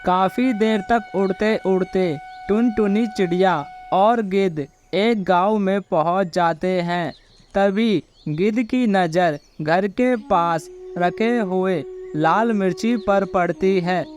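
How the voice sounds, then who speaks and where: A man tells a children's moral tale in simple narration. His voice is high for a man and he takes his time, with music playing behind him. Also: slow, a little mellow. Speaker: storyteller.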